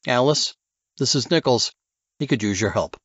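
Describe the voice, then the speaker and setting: Audiobook role: tense male authority figure, voiced by a male narrator, deliberate and serious, slightly muffled audio. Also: mid-range voice, lively intonation, a bit bright, husky, no echo. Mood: controlled, commanding. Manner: deliberate, methodical. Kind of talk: audiobook character dialogue.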